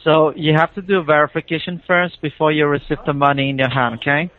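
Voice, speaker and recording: A man's voice: on the high side, flat delivery, fairly quick. A man with an Indian accent talking over the phone as a caller, explaining a procedure in an insistent, repetitive, persuasive way. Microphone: telephone call. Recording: tinny, band-limited phone line.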